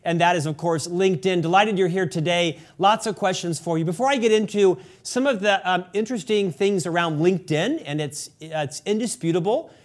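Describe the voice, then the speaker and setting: Man, podcast host, high-pitched voice, polished presenter delivery, formal wording. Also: brisk, hardly any pauses. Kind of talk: scripted podcast introduction and interview questions.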